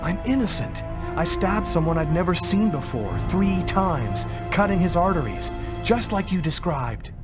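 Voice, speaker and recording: A man's voice: high voice, very animated, unhurried. An actor playing a guarded, earnest man on the run in a video game, speaking in a subdued, serious way. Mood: troubled, earnest. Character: guarded, earnest male fugitive posing as a journalist. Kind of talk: naturalistic video game acting. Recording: music behind the voice.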